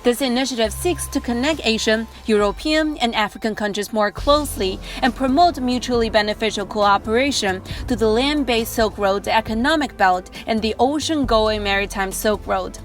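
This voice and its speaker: A woman reporter reads a news feature in a clear, measured voice, sitting a little higher than most women. A music bed plays clearly beneath her narration. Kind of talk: news narration and street interviews. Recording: voice-over with music underneath.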